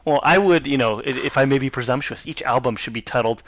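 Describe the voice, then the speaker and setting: A man co-hosting a casual radio chat and joking between questions. He talks fast, and the sound is dry and a bit dull. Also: medium pitch, fairly bright tone, no echo. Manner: joking, quick asides. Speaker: radio co-host.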